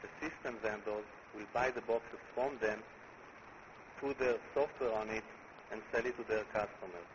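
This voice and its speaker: A man with an Israeli accent, a company chief executive giving a conference presentation in a deliberate way, repeating words as he builds his points. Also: lowish voice. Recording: muffled recording with clear background noise.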